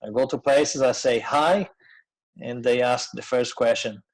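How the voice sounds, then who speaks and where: A middle-aged man with a Brazilian accent speaks at a medium pitch as he presents a webinar. His delivery is informal and conversational, with frequent hesitations and pauses as he finds his words in a second language after Portuguese. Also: steady tone, rough recording. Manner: hesitant, conversational, pausing often.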